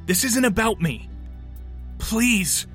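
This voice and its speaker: A man's voice: high-pitched, lively intonation, takes it slowly, fairly bright tone, soft background music, exaggerated voice. A man playing a wary, defiant fugitive in handcuffs in an audio drama, speaking with cynical urgency. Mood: urgent, frustrated.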